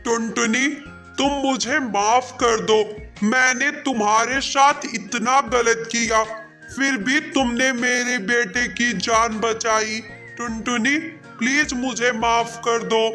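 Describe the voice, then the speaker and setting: A man's voice: very high-pitched, steady tone, slow pace, bright, forceful tone, faint music behind, exaggerated voice. A man voicing a rich, stingy crow boss in a children's cartoon, with a haughty, theatrical manner. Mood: remorseful. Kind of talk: Hindi children's cartoon dialogue.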